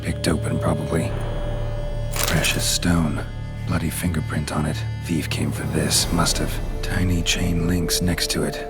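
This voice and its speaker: An actor in a video game playing a gruff, stoic monster hunter who mutters tersely in clipped, deadpan fragments. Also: male voice, very deep voice, a little slow. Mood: brooding deduction. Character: gruff, laconic male monster hunter for hire, stoic and watchful. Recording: music behind.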